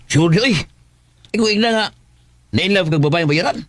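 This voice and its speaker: A man's voice: high-pitched, expressive, moderate pace, dry recording. An actor playing a brash, worldly male friend with a Filipino Cebuano accent, blunt and mocking, in a radio drama. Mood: scoffing. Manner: cajoling, scoffing, blunt. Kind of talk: Bisaya radio drama acting. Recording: radio drama over prominent music. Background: prominent background music.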